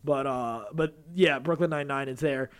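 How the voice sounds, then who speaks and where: A man co-hosting a podcast in casual chat, speaking in a halting, digressive way with chuckles. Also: medium pitch, clear, resonant tone. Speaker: podcast co-host. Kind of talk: casual chat about TV and voice acting.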